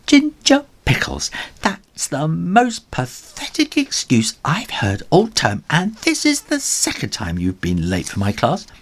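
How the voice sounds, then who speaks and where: A man's voice: a little high, huge pitch swings, a little slow, voice pushed up, full character voice. A man voicing a stern, exasperated female cat schoolteacher in a children's storybook. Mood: scolding. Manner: exasperated, bossy.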